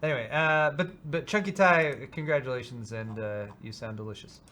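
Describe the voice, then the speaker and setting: A man's voice: medium pitch, a little fast, sharp tone. A man co-hosting a casual live show, talking in an offhand, tongue-in-cheek way with dry humor. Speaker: co-host of a live tech show. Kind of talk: casual banter and tech commentary.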